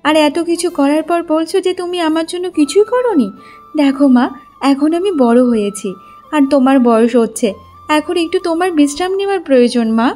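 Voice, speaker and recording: Woman playing a high-voiced, earnest young daughter. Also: brisk, warm tone, faint music behind, lightly acted. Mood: pleading. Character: dutiful, caring young adult village daughter. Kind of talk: Bengali cartoon story dialogue.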